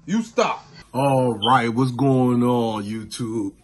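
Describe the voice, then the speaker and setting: A man in his thirties recapping a show on his vlog, laid-back and animated, from a small room indoors into a phone camera. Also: medium pitch, slow pace, slight background noise. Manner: laid-back, animated, lots of "you know what I'm saying". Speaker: vlogger. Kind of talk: casual reaction vlog.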